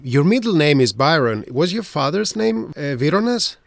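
A man's voice: fairly high pitch, sing-song, normal speed, creaky, dry recording, clean studio sound. A man hosting a radio interview, asking polite and somewhat hesitant questions with many fillers. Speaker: radio host.

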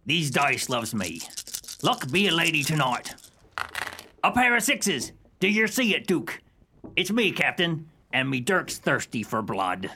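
A man playing a sly, bloodthirsty pirate henchman in a comic radio play, mock-polite in rough pirate grammar. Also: fairly high pitch, big pitch swings, unhurried, bright, forceful tone, slight background noise, heavily acted. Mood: gloating.

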